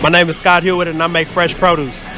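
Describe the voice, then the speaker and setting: A man from St. Louis, a music producer, answering interview questions in a relaxed, reflective way. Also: on the high side, fast. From St. Louis, USA. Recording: music playing behind.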